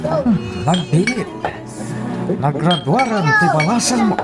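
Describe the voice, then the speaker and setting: A man's voice: high voice, very animated, slow, full character voice. An actor with a Filipino accent playing a polite, deferential male guest in a radio drama. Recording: loud music, clinking glassware behind.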